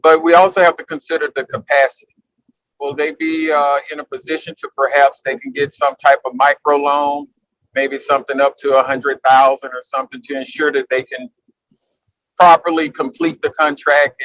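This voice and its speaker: A man putting careful, deliberately worded questions as a participant in a panel conversation. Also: medium pitch, a bit bright, no room sound, dull audio.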